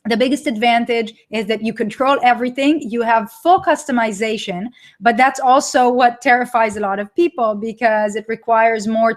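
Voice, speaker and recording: Upbeat Israeli woman hosting a webinar. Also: a little high. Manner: chatty, reads live chat and reacts to viewers. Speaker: webinar host presenting her product. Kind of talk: live webinar presentation.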